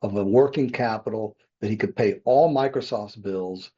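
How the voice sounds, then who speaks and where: A man's voice: fairly low pitch, normal speed, dark tone, slightly rough. A man in his sixties, a podcast guest, telling his business story in a rambling, self-correcting way and chuckling often. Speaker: podcast guest, real estate developer. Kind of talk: conversational interview storytelling. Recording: dull lo-fi podcast audio.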